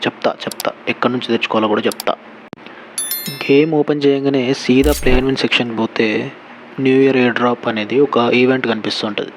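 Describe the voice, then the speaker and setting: Young male gaming YouTuber, Telangana Telugu accent, quick and buddy-like, mid pitch. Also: fairly even intonation, slight background noise, rough recording. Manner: chummy, addresses viewer as buddy. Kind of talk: casual gaming tips commentary.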